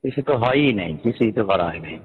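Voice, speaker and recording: Male, Bangladeshi Bengali accent, private phone talk, lively intonation, long reverb on the recording. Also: mid-range voice, quick pace, husky, narrow, tinny audio. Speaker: teacher.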